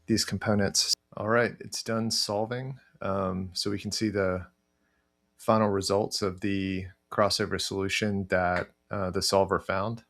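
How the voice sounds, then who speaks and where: A middle-aged man presenting a casual software walkthrough, thinking aloud in a halting way. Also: sits low, little pitch movement, vocal fry. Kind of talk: casual screen-share walkthrough. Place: indoor room, webcam screen recording.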